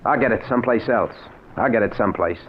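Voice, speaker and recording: Man playing a desperate low-voiced husband, old radio. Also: fast talker, close to an everyday voice. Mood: bitter. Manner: emotional, pleading, plain-spoken. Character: desperate working-class locksmith husband, earnest and bitter. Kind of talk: old-time radio drama acting. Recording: narrow, noisy vintage radio recording.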